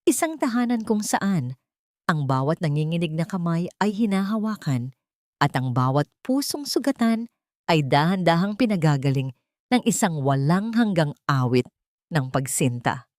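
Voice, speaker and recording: A woman narrating a scripted dramatic audiobook in a measured, expressive storytelling manner. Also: lowish voice, dry recording, clean studio sound.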